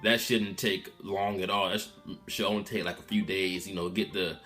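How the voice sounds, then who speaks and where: Young man in his twenties, lowish voice, subdued and candid, rambling casually to his viewers. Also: fairly even intonation, normal speed, soft background music. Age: 20s. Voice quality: loud voice. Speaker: vlogger. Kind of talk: casual update vlog. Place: room at his mom's house.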